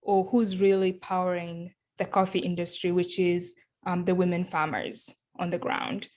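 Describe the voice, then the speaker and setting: A woman from Kenya answering interview questions over a video call, measured and thoughtful, with frequent ums. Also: lowish voice, flat delivery, a little mellow. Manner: thoughtful, many ums and uhs. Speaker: guest, company founder.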